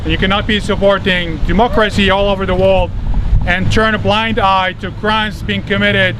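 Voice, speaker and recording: A man from Iraq, giving a formal protest speech at an outdoor rally, measured and correcting himself as he goes. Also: high-pitched, little pitch movement. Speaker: rally speaker. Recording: noisy outdoor recording.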